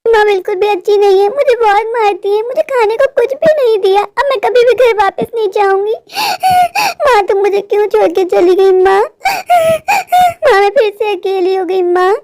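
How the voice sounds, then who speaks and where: A woman's voice: very high-pitched, normal speed, a little mellow, slight background noise. A woman voicing a hungry, sickly, heartbroken little stepdaughter in a melodramatic cartoon story, pleading and plaintive. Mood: tearful, despairing.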